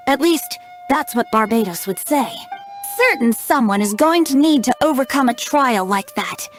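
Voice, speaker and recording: A woman's voice: high voice, animated, moderate pace, faint music behind. A woman voicing a youthful male bard in a video game, playful and sly, with a lilting, poetic, teasing delivery. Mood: playful, earnest. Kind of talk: English video game voice acting.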